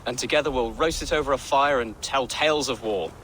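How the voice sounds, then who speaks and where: A man's voice: medium pitch, brisk, fairly bright tone, slight background noise, lightly acted, rough recording. An actor playing an earnest, kindly young medieval nobleman in a video game, speaking courteously.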